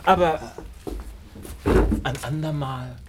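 A man's voice: a little high, slow, heavy background noise. A man playing a shrewd, cool and commanding detective in a radio play, suave and ironic with feigned innocence. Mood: smoothly regretful.

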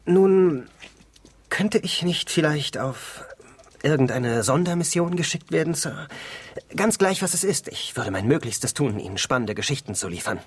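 An actor playing an eager, deferential young reporter in a radio drama, polite and hesitant, with a husky voice. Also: male voice, on the high side, animated, normal speed. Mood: nervous, eager. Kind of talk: German radio drama acting.

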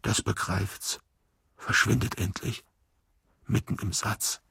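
A weary male figure speaks fragments of poetry in an experimental radio play. The voice is low and very husky, with heavy gravel. Words come haltingly, one small piece at a time. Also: fairly even intonation, bright, forceful tone, no echo, heavily acted. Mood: weary, hushed. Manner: halting, sparse, word-by-word delivery.

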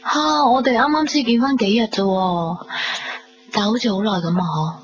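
A woman's voice: medium pitch, normal speed, lightly acted. A woman with a Hong Kong Cantonese accent playing a girlish, teasing young woman in love in a radio drama. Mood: affectionate. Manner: playful, teasing. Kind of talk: 1990s radio drama dialogue. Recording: dull lo-fi radio recording, faint noise.

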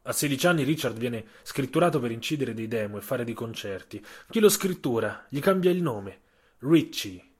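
A man with a slightly husky voice narrating a literary audio story for radio, measured, with dramatic pauses and emphasis. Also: medium pitch, lively intonation, a little mellow.

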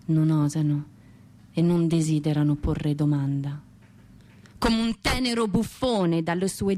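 An actress playing an anguished, defiant Russian woman poet, lonely and destitute, in a theatrical radio monologue with dramatic, declamatory phrasing and deliberate pauses. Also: lowish voice, darkish tone. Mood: lyrical, brooding.

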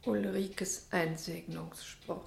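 Radio drama: anxious wife, pleading, unhurried delivery, roomy echo. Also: female voice, fairly low pitch, steady tone, vocal fry, slight background noise, heavily acted. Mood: worried. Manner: hushed, pleading. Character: anxious, grieving wife, fearful of neighbours. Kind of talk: German radio play acting.

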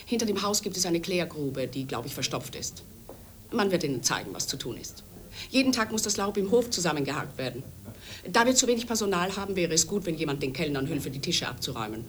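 A woman playing a brisk house manager in a radio drama, businesslike and politely bossy as she gives orders. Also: sits low. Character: brisk, commanding female manager of a conference house.

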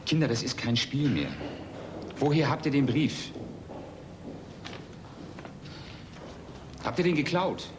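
An actor playing a cautious, kindly journalist in a radio play, speaking earnestly and hesitantly, as if to children. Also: male voice, medium pitch, normal speed, close to an everyday voice. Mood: serious. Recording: noisy radio play tape.

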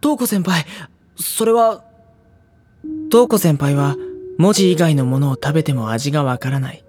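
An actor playing a gentle, anxious teenage schoolboy who narrates an audio drama with wry worry. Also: male voice, on the high side, a little slow, lightly acted. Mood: wry, uneasy. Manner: wry, worried inner monologue. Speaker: narrator and lead character.

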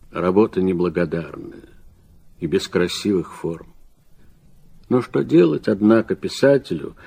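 Reflective literary intellectual for radio drama; husky, very unhurried, troubled, rhetorical phrasing. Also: male voice, lowish voice, exaggerated voice. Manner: deliberate, rhetorical, emphatic swells and hushed drops. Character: reflective, troubled man of letters reading his critical reply.

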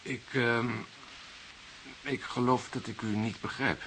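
An actor playing a young journalist in a Dutch radio drama, polite and hesitant, evasive with a wry, cheeky streak. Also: male voice, mid-range voice, steady tone, slow pace, fairly bright tone, poor audio. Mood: baffled, uneasy. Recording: hissy old radio play recording.

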